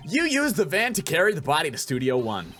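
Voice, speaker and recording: A man's voice: on the high side, huge pitch swings, fairly quick, pushed and bright, soft background music, exaggerated voice. A man voicing an earnest, insistent young defense lawyer in a video game, with an emphatic delivery. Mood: accusing, emphatic. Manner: emphatic, declaratory.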